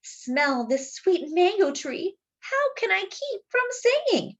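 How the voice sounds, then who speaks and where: A woman's voice: very high voice, very animated, slow, highs cut off, voice pushed up, full character voice. A woman voicing a merry, stubborn little rooster in a sing-song, crowing manner for a storybook. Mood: merry, defiant.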